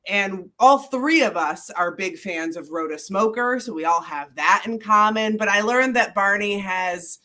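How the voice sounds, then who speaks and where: A congested middle-aged woman chats casually as co-host of a running show, her voice stuffed up from a head cold. She banters in an easygoing, good-humored way, at a pitch typical for a woman. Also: sharp tone. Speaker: podcast co-host. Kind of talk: casual chat.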